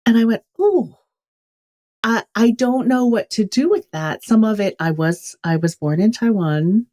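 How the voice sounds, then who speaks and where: A woman's voice: fairly high pitch, animated, a little slow, mellow. A woman from California speaking as an author guest in an interview, thoughtful and candid. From California, USA. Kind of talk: reflective interview talk.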